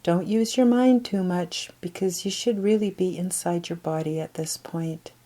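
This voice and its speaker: Female meditation guide: lowish, relaxed tone, gentle and breathy, extremely unhurried instruction. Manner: slow, gentle, unhurried counting and pauses.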